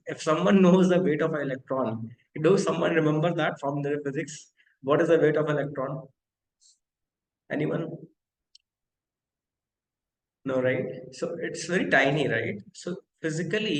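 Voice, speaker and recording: A man's voice: on the high side, roomy sound, slight background noise, poor audio. A man in his thirties hosting a live online technical lecture, talking interactively with many fillers and often asking the audience questions. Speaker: webinar host and company founder.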